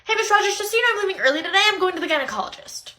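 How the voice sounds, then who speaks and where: A woman puts on an earnest, confused schoolboy for a comedy skit. His voice is high and bright, swooping about as he talks in a plaintive, flustered way. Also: expressive, racing, heavily acted.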